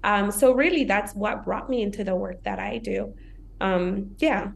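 A woman's voice: medium pitch, wildly swooping pitch, measured pace. A young woman from Ethiopia speaking as a podcast guest, reflective and conversational, filling her talk with "you know" and "like". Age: young adult. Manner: reflective, uses many fillers like "you know" and "like".

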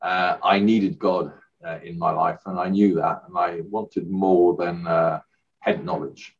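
Deep-voiced man on a distant, dull line. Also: little pitch movement, measured pace. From Northern Ireland. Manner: hesitant, lots of uhs and restarts. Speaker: guest, charity chief executive. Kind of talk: interview answers.

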